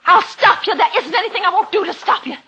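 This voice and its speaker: A woman's voice: very high voice, expressive, fast, pushed and bright, heavily acted. A woman playing a frantic, spiteful middle-aged wife in fear for her life, in melodramatic old radio thriller style. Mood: bitter, accusing. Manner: melodramatic, pleading, hysterical. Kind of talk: 1940s-50s radio thriller acting. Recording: dull old radio recording with music.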